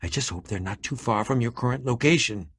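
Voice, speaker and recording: An actor playing an eccentric, excitable adventurer and potion maker in a video game, with fussy, theatrical delivery and dramatic emphasis. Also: male voice, mid-range voice, a little fast, husky, no room sound. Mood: dry, thoughtful. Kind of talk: animated video game character acting.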